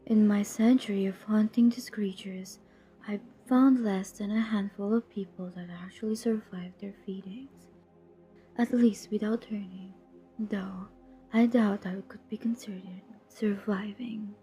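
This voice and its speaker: A woman playing a centuries-old vampire who hunts her own kind in an audio roleplay, cold, menacing and drily teasing, in a deliberate half-whisper. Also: mid-range voice, steady tone, dark tone, faint music behind, lightly acted. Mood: wry, probing. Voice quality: breathy, husky, half-whispered. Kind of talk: intimate scripted audio roleplay.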